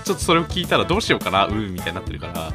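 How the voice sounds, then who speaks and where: A man's voice: low voice, very fast. A man co-hosting a radio show, casually telling an anecdote and acting out the dialogue in it. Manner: animated, acts out quoted dialogue. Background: background music.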